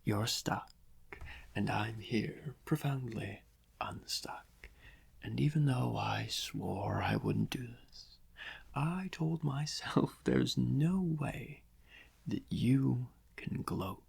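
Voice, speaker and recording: Guy doing a smug supervillain asmr thing, super breathy n croaky, real slow dramatic gloating, vain criminal mastermind. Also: medium pitch, big pitch swings, a little mellow, exaggerated voice. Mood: smug, savouring. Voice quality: breathy, whispery, gravelly. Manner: slow, drawn-out, melodramatic, savouring each phrase with long pauses. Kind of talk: soft-spoken ASMR villain roleplay.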